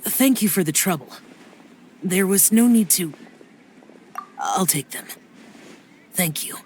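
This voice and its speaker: A man's voice: high-pitched, takes it slowly, slight background noise. Terse, aloof young man. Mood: reserved, polite. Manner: terse, flat, reserved delivery. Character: aloof, reserved young man of few words. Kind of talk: video game cutscene acting. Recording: music behind the voice.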